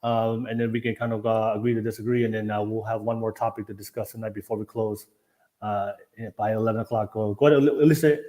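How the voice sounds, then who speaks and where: A man moderates a live online panel over a video call, talking fast. He is polite but brisk, keeping things moving along and handing the floor from one guest to the next. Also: lowish voice, steady tone, dark tone. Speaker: host, moderator.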